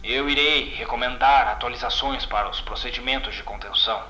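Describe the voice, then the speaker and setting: A formal, detached investigator voiced by a man speaks with clipped control. The Brazilian-accented voice is sharp and forceful, with a roomy echo suited to a horror audio drama. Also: medium pitch, fairly quick, lightly acted. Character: detached, formal male investigator debriefing an agent.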